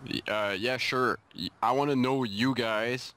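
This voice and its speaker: A man from Canada co-hosting a casual gaming podcast, halting and full of restarts as he eagerly poses questions. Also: mid-range voice, a bit bright, a little hiss or hum. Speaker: podcast co-host. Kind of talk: casual group chat.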